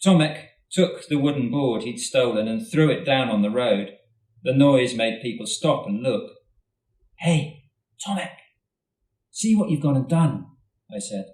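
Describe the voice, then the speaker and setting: A man, an author, reading his own short story aloud at a festival event in a steady, measured way, recorded in a hall from a distance. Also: mid-range voice. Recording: roomy hall, mic at a distance.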